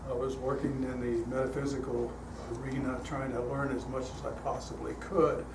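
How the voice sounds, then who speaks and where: Older man, calm storytelling in an echoey hall. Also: mid-range voice, normal speed. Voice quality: calm, relaxing voice. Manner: relaxed, chatty, frequent uh fillers and restarts. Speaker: guest speaker leading a guided meditation. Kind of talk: storytelling talk to a congregation. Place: church hall. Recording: echoey hall, heard from a distance, noisy.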